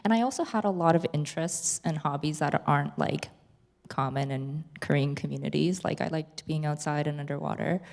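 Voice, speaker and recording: A woman's voice: low-pitched, a little fast, vocal fry, darkish tone. A western Canadian woman reflecting personally in a casual, unscripted way at a live Q&A. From Canada, outside Vancouver. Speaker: series host and co-director on a panel. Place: theatre stage at a live screening Q&A. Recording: reverberant hall, stage microphone.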